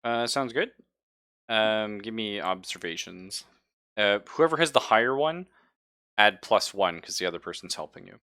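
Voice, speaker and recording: A man with a fairly low, forward voice runs a tabletop horror game. His delivery swings widely in pitch, informal and joking, with casual swearing. The sound is dry. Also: animated, normal speed, pushed and bright, dry recording. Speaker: game master.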